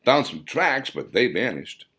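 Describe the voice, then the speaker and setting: Audiobook role: gossipy small-town shopkeeper and ex-military man, played by a male narrator, folksy, chatty, very animated pitch. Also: unhurried. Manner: folksy, chatty rural talk. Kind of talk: audiobook character dialogue.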